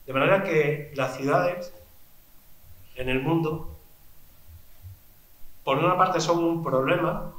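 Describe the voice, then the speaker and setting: Man, presenter introducing speaker, slightly high voice, measured and explanatory, roomy hall sound. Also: a bit bright. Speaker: event presenter. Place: lecture hall. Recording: echoey lecture hall.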